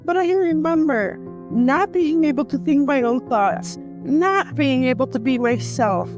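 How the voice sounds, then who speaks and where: A woman plays a hurt, headstrong young heroine for an audio drama. Her voice is high and full of accusing emotion. Music plays clearly under a slightly dull recording. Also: expressive, unhurried. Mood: wounded, accusing.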